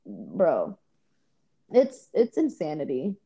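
A woman's voice: low-pitched, huge pitch swings, slow pace, creaky, mellow, slightly rough. A woman guest from Rhode Island, chatting casually with many "like" fillers. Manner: chatty, many "like" fillers, laughs while telling stories. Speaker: podcast guest. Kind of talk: casual chat.

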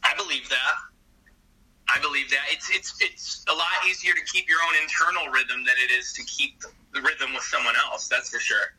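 Bright, expressive man off-mic. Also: medium pitch, normal speed, husky, far from the mic, poor audio. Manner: expressive, animated talker. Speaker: podcast host. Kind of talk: casual chat.

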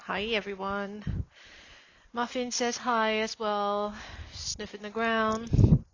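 A woman's voice: medium pitch, little pitch movement, no echo, lo-fi sound. A woman in her forties musing aloud for a reflective podcast while walking outdoors on a snowy street. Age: 40s. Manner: slow, musing and meandering. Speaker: podcast host.

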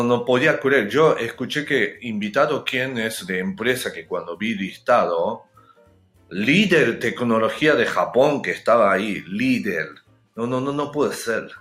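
A Japanese man speaking Spanish as a second language with a Japanese accent, talking slowly and haltingly, searching for words and laughing often as a guest in a casual interview. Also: mid-range voice, fairly bright tone, roomy sound.

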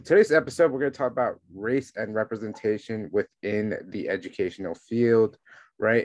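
A man's voice: fairly low pitch, dry recording. A man hosting a podcast conversationally, often checking in with "right?". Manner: frequently tags statements with "right?". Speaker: podcast host, teacher.

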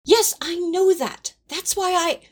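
A woman's voice: very high voice, slow. A woman playing a prim, anxious male angel who scolds in exasperation, in a fan audio drama. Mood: exasperated.

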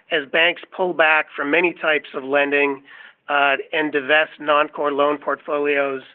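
Flat, higher-pitched man on phone line. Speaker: company executive. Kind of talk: earnings call answer. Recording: tinny phone-line conference call.

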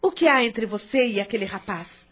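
A wealthy mother who scolds her daughter but quietly indulges her, played by an actress. Her voice is high and husky and swoops from disbelief to firmness. This is radio drama acting. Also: lively intonation, normal speed, faint music behind. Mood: firm. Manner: firm, incredulous.

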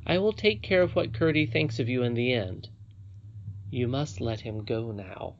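A woman playing a gentle, loving old grandmother in a storybook, patient and wise. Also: a bass voice, expressive, normal speed, highs cut off, slight background noise. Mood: consoling. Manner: sweet, patient. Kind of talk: storybook character reading.

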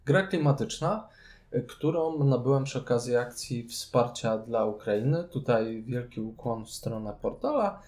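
Mellow-voiced man chatting. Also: mid-range voice, expressive, a little slow. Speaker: podcast co-host reviewing board games. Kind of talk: casual chat, board game review.

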